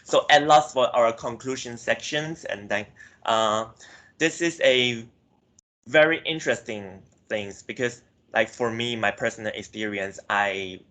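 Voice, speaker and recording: A man's voice: mid-range voice, measured pace, bright, forceful tone. A young man from Myanmar giving a class presentation, hesitant, with frequent self-corrections and filler words. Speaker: student presenter.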